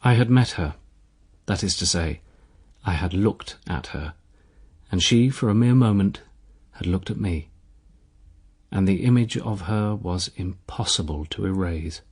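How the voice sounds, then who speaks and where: An actor playing an impassioned young priest in a period radio drama, intense and declamatory, pausing for emphasis. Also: male voice, low voice, darkish tone, breathy edge. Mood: anguished. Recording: long reverb of a hall.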